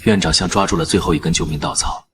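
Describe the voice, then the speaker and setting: A man narrating in the first person as a calm surgeon, in measured scripted narration. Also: low voice, noisy background, lightly acted. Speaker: narrator and lead character, a surgeon.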